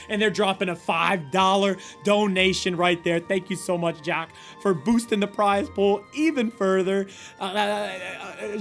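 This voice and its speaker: Hyped, hoarse high-voiced esports host. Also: male voice. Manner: hyped, rapid shout-outs with frequent stammered restarts. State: slightly hoarse from cheering. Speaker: tournament host and commentator. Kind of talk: energetic esports stream commentary.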